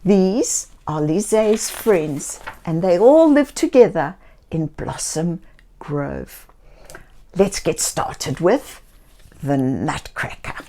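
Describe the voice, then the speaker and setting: Slow, gentle woman reading to children. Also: lowish voice, big pitch swings. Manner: gentle, theatrical, talking directly to young listeners. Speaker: children's story reader and host. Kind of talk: expressive children's read-aloud storytelling.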